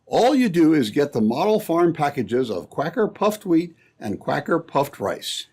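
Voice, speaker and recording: A man's voice: on the high side, sing-song, a little slow, darkish tone, husky. A man announcing in scripted old-time radio style, with a peppy salesman's delivery. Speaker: announcer and narrator.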